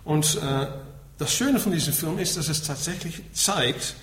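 A man's voice: a little high, measured pace, fairly bright tone. A middle-aged man from the Netherlands with a Dutch accent gives an explanatory talk as a Buddhist teacher, often tagging his points with a short questioning word. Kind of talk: spoken lecture to listeners. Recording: echoey room with faint background noise.

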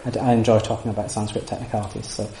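A man lecturing to a small group, talking his way through ideas with frequent restarts and fillers. His voice is mellow and dark. The room is reverberant, with a faint hiss underneath. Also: fairly low pitch, little pitch movement, racing, vocal fry. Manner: thoughtful, many restarts and hedging fillers. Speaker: lecturer on Sanskrit texts. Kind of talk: informal lecture with audience questions. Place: room with audience.

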